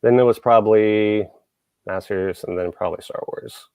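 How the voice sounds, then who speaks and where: A man's voice: lowish voice, fairly even intonation, moderate pace, darkish tone, smooth, dry recording. A man from Texas, hosting a show and chatting casually, rambling with lots of fillers and restarts. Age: adult, grew up in the 1980s. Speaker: show host. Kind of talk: casual podcast chat.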